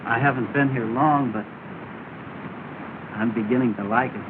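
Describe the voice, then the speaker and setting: A man's voice: mid-range voice, moderate pace, close to an everyday voice. Male actor, polite, earnest young man, respectful early-talkie delivery on a thin, noisy soundtrack. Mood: earnest, hopeful. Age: young adult. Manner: respectful, sincere. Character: earnest, polite, affable young gentleman newly arrived north. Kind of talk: early 1930s film acting. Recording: noisy, tinny 1930 film soundtrack.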